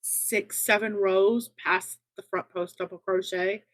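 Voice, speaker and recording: Woman, slow eager chatty talk, dry close sound, casual crochet livestream. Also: mid-range voice, fairly even intonation, dry recording. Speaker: guest crocheter.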